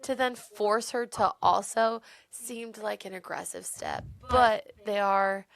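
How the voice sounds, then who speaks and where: A woman co-hosting a podcast in casual book chat, retelling scenes in an animated way and swearing freely. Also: mid-range voice, slow, creaky. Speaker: podcast co-host.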